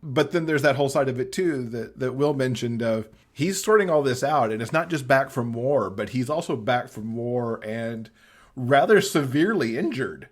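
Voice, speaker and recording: A man's voice: mid-range voice, expressive, normal speed. A man in a casual book chat as a podcast panelist, talking in an appreciative, conversational way. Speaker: podcast panelist discussing romance novels.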